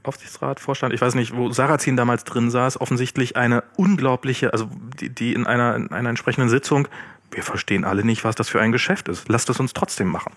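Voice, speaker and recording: Halting man in casual discussion, dry sound. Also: mid-range voice, steady tone, fairly bright tone, no echo. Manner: halting, searching for words with many restarts. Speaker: podcast co-host.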